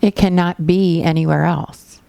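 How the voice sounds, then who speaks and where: A woman teaching a guided body scan meditation, giving gentle, unhurried instructions with pauses. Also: lowish voice, fairly even intonation, gravelly, no room sound.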